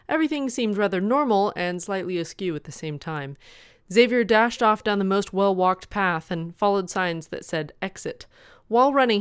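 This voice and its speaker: A woman's voice: medium pitch, clear, resonant tone, dry recording, clean studio sound. A woman presenting as a host, reading a comic fan fiction story aloud as scripted narration.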